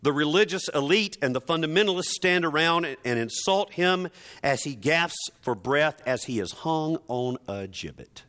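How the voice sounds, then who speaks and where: A man's voice: a little high, lively intonation, pushed and bright. A man preaching a dramatic sermon with emphatic, theatrical, rhetorical delivery and sardonic asides. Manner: emphatic, theatrical delivery with deliberate repetition for effect.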